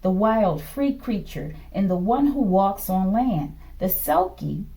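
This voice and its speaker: A woman hosts an off-the-cuff tarot reading. Her medium-pitched voice moves up and down a lot. She talks in broken, musing fragments, partly to herself, and often closes a thought with a brief questioning check-in. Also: lively intonation, slow pace, warm tone. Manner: fragmented delivery, murmuring to self, often ending phrases with a questioning "okay?". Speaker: tarot reader, host. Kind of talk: improvised tarot reading to camera.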